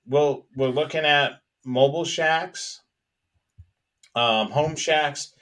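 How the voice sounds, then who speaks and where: A man's voice: on the high side, slow pace, a bit bright. A man co-hosting a casual live stream for radio hobbyists, talking in a hesitant, rambling way and repeating phrases as he goes. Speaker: co-host of a live hobby show about police scanners.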